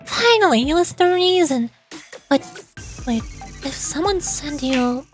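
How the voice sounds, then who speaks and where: Game underworld dealer read by a woman, high voice, defensive, indignant, hurried. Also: expressive, soft background music. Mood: suspicious.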